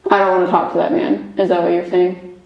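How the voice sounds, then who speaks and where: A woman's voice: lowish voice, little pitch movement, a little fast, creaky, muffled recording. Chatty young woman in an echoey basement. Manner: chatty, rambling, giggly, many fillers. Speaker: medium, paranormal investigator. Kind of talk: casual chat on a ghost hunt. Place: old basement room with echo.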